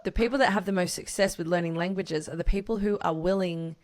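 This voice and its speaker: A woman's voice: lowish voice, quick pace. A woman hosting an interview over a video call, talking in an effusive, gushing way and leaning on "you know" and "like.". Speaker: podcast host and interviewer. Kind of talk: warm, enthusiastic interview chat.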